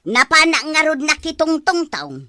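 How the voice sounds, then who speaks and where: An excitable, easily alarmed village woman played by an actress in a comedy drama. Her voice is extremely high and very bright, recorded close and dry. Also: normal speed, no echo, heavily acted. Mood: worried.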